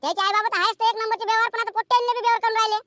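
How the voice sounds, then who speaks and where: A man voicing a furious, foul-mouthed village mother in a cartoon comedy, scolding in a rural Vidarbha Marathi accent with a pitched-up voice. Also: steady tone, very fast, bright, forceful tone. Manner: scolding, hectoring, repetitive questioning.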